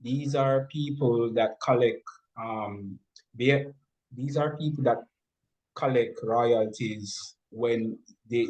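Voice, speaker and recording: A man's voice: mid-range voice, a little mellow, low-quality recording. A man talking informally as an interview guest, explaining his points step by step and often repeating and rephrasing them.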